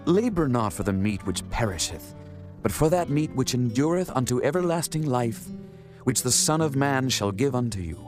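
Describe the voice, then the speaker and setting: Man acting a solemn, measured holy teacher over music. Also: medium pitch, lively intonation, natural voice. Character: Jesus, a calm, authoritative adult male teacher. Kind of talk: dramatized scripture reading.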